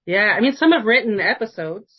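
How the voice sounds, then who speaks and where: A woman's voice: medium pitch, expressive, brisk, dull audio. A woman podcast interviewer chatting casually, asking loose, rambling questions in a conversational way.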